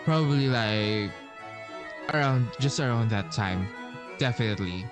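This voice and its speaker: A man's voice: mid-range voice, a little slow. A man giving casual gaming commentary, hesitant and muttering, with some swearing. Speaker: game streamer. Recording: game music behind voice. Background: game music.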